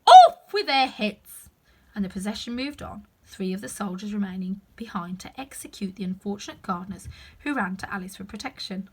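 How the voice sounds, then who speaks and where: A woman narrating a children's story aloud in an expressive, dramatic storytelling style. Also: mid-range voice, brisk, breathy edge. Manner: animated, dramatic. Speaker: storyteller reading a children's book.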